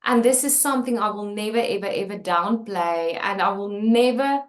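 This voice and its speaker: South African woman author, Afrikaans first language, sincere and halting, roomy sound. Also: mid-range voice. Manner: candid and earnest, many fillers and restarts. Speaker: author and channel host. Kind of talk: personal talk about her book.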